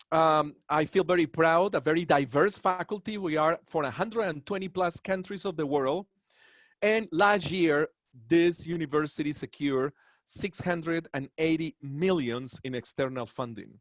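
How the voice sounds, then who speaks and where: A man's voice: a little high, a bit bright, no room sound. A man from Colombia with a Colombian Spanish accent giving a webinar lecture on dentistry, earnest and proud, with rambling asides. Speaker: dental professor.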